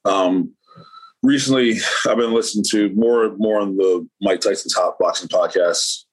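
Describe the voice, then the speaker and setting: A man's voice: on the low side, fairly even intonation, measured pace, slightly rough, low-quality recording. A man, a painter, talking casually as an interview guest, rambling with lots of fillers and restarts.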